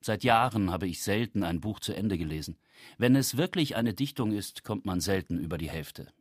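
Guy reading as a disdainful male novelist, low voice, literary quote, dry close sound. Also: animated, moderate pace, dry recording. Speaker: reader of author quotations.

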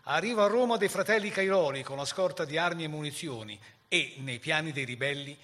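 Male narrator, forceful and slightly high, for dramatised historical radio storytelling. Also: fairly quick.

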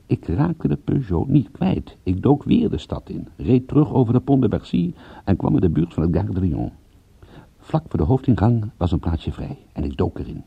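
A wry, laconic private detective in a radio drama. His voice is very low and gravelly, with lively swings in intonation. The recording is dull and lo-fi. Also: exaggerated voice. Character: wry male private detective narrating his own case. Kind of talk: radio play acting with first-person narration.

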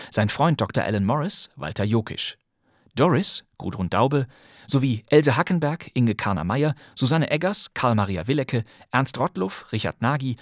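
Calm man hosting a crime podcast. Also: medium pitch, no echo, clean studio sound. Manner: calm, informative announcing. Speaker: podcast host. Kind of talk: podcast narration.

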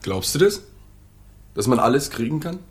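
A man's voice: mid-range voice, big pitch swings, normal speed, slightly rough. An actor playing a melancholy journalist in a literary radio drama, telling his story in a sober, restrained way. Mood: intimate. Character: subdued, melancholy male journalist narrating his own story. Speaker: narrator.

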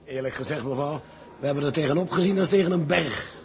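An actor playing a plain-spoken, hearty chief ship's engineer in a period drama, affable and talkative. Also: male voice, on the high side, quick pace, close to an everyday voice. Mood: effusive, hearty. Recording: music playing behind.

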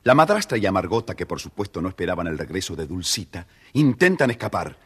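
A man's voice: sits low, very animated, quick pace, a bit bright, breathy edge. A man narrating a children's story dramatically, addressing his young listeners directly.

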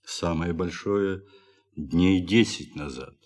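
A man acting a sombre ship's doctor and explorer in an audio drama, speaking with weighty, measured pauses. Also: low voice, a little mellow. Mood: sombre, shaken. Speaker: actor in audio play. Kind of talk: theatrical radio drama acting.

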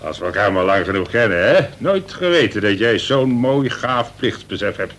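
A man playing an older prison governor, a colonel who speaks in a measured, formal and wry way, in a Dutch radio play. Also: expressive, fairly bright tone. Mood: wry authority. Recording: noisy old radio recording with rumble.

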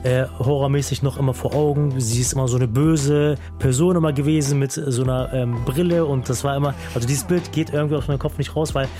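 A young man telling his own life story with earnest reflection, pausing often with fillers. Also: medium pitch, steady tone, dark tone. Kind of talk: personal storytelling in a radio feature. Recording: music bed behind the voice.

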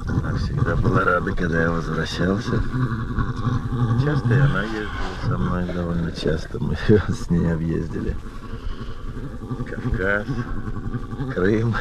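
A man speaking as an animal trainer, explaining his work matter-of-factly in unscripted interview answers. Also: sits low. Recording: noisy location recording with animal sounds.

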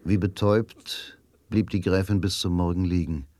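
A man narrating a radio drama in a measured, literary and dramatic manner. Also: sits low, fairly even intonation, dark tone, no room sound, close to an everyday voice. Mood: grave, suspenseful. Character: grave literary narrator of a crime story. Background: music.

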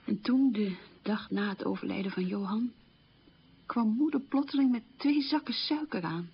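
A woman's voice: on the high side, normal speed, a little hiss or hum, lightly acted. An actress playing a middle-aged woman who recalls wartime hunger in a plain-spoken, emphatic way, in a radio drama monologue. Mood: grave, bitter. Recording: dull, muffled old radio recording.

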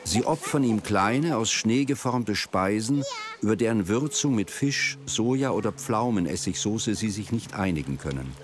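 A man reading a scripted, descriptive radio narration in a measured storytelling manner. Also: lowish voice, expressive. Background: music.

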